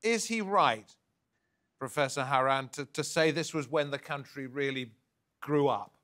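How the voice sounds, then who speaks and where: A man hosting a TV news discussion, briskly putting questions to his guests. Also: mid-range voice, fairly bright tone. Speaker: news host, presenter.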